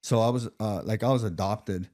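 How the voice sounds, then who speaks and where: A man's voice: lowish voice, normal speed, warm tone. A man from Arizona hosting a podcast, chatting loosely and rambling with lots of fillers and jokes. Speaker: podcast host. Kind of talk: casual interview chat.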